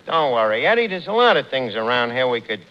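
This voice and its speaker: A man's voice: medium pitch, sing-song, fast, close to an everyday voice. An actor playing a wisecracking, slangy tavern manager who mangles his words in a New York accent, in 1940s radio comedy style. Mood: wry. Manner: slangy, wisecracking, full of malapropisms. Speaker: tavern manager, lead comic. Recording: dull, noisy 1940s radio recording.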